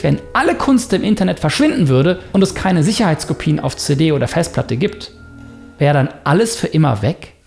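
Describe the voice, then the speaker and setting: A man narrating his own video in a scripted voice-over, reflective and lively, with a sponsor read. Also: on the high side. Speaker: YouTuber narrating a self-experiment.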